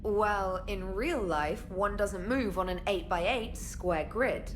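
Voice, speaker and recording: A woman's voice: medium pitch, slow pace, a little hiss or hum, close to an everyday voice. A woman playing a witty, playful young military comms specialist in a video game, with a wry, articulate, teasing manner. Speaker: comms officer. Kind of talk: video game dialogue acting.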